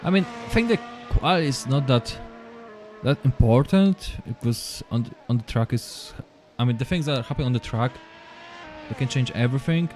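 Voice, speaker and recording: A man's voice: medium pitch, darkish tone. Polish guy commentating a sim race, choppy english, keeps repeating himself, faint music behind. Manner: halting, repeats words, apologetic. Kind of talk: live esports race commentary.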